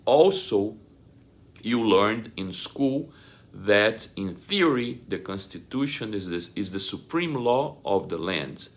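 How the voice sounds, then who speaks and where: A man in his forties giving a scripted explainer straight to the viewer, deliberate and emphatic, pausing between short phrases. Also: on the low side, expressive. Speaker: presenter of divorce self-help videos. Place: indoors, small plain room.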